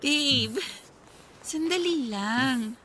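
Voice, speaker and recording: High-voiced young girlfriend, guarded. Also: expressive, drawn-out words, bright, forceful tone, a little hiss or hum, heavily acted. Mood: uneasy, pleading. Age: young adult. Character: young student girlfriend, affectionate but guarded. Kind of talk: Tagalog radio drama acting.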